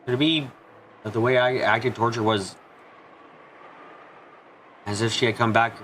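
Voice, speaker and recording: A man giving an earnest amateur dramatic reading of a visual novel, halting for dramatic pauses. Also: mid-range voice, fairly even intonation, fairly bright tone, a little hiss or hum. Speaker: amateur fan dubber. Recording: music behind the voice. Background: background music.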